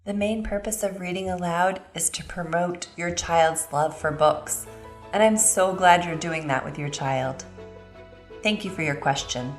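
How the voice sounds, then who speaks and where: A woman giving instructional advice to parents in an expressive, emphatic way, with examples of reading aloud. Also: lowish voice, measured pace, echoey room, soft background music.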